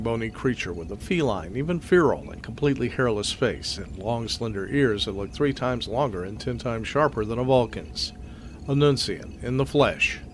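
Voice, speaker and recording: A man's voice: lowish voice, a little hiss or hum. A man narrating a scripted science fiction audio drama in storytelling style.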